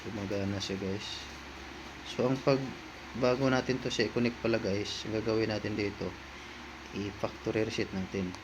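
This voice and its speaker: A man's voice: on the low side, fairly even intonation, moderate pace, long pauses, background noise. A man with a Filipino accent giving a casual hands-on unboxing review, talking informally to viewers and often repeating himself. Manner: informal, repetitive, talking to viewers as he handles items. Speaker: vlogger, product reviewer.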